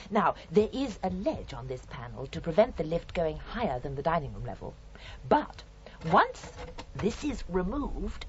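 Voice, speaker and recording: A woman playing a fussy, house-proud murderous landlady in a radio crime drama, with a British accent and a prim, chillingly matter-of-fact, indignant manner. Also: on the low side. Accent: British English. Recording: dull radio recording with faint hiss.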